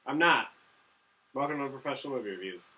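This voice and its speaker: A man's voice: medium pitch, animated, fast, sharp tone. A man co-hosting a casual movie review, chatting and swearing. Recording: distant mic with faint background noise.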